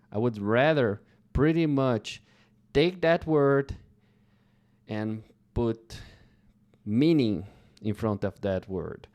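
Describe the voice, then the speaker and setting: A young man from Brazil, a Portuguese native speaker with a Brazilian accent, teaching English slowly and carefully to learners. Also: mid-range voice. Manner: slow, careful, deliberate phrasing with pauses. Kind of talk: slow English lesson talk.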